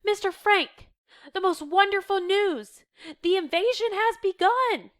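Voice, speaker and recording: Woman acting an excitable high-pitched young woman, exclaiming. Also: moderate pace. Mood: excited. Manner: breathless, exclaiming, urgent. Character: excitable young woman bursting with good news.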